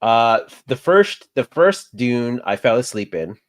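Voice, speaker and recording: A man co-hosting a casual chat, relaxed and rambling, laughing as he talks. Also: medium pitch, measured pace, no room sound, clean studio sound. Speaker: co-host.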